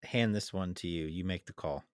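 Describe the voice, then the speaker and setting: A man's voice: deep voice, moderate pace, no echo, clean studio sound. A man co-hosting a podcast in casual debate, easygoing as he prompts his partner. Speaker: podcast co-host.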